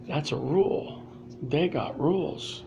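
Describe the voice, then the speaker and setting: Gravelly guy rambling on a live stream in a library, phone mic, noisy. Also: a little high, slow pace. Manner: rambling, restarts, trails off into asides. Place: public library indoors. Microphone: phone or laptop camera.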